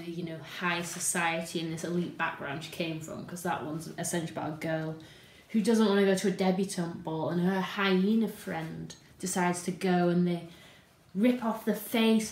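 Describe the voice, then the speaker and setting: A woman's voice: on the low side, steady tone, normal speed, a little mellow. A woman in her thirties with a British accent, chatting casually to camera as a presenter. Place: indoor room at home. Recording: roomy home sound.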